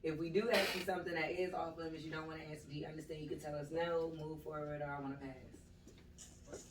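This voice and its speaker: A woman co-hosting a podcast, lively and quick to jump in and joke. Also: deep voice. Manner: lively, interjecting and joking. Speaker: podcast co-host. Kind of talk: casual interview chat. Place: echoey podcast room. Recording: echoey room, distant mic, background noise.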